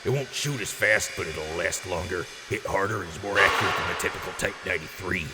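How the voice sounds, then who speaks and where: A very low, gravelly voice in a noisy, echoing audio drama mix. a generous, boisterous wasteland quartermaster who talks up gear like a hyped showman. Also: brisk. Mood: proud, easygoing. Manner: hyped showman with booming catchphrase outbursts. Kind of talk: post-apocalyptic audio drama acting.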